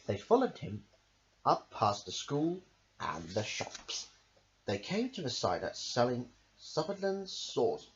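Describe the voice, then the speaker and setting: Man, storybook read-aloud, highly varied intonation, rhythmic sing-song, lo-fi recording, faint noise. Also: wildly swooping pitch, slow. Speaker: amateur storybook reader.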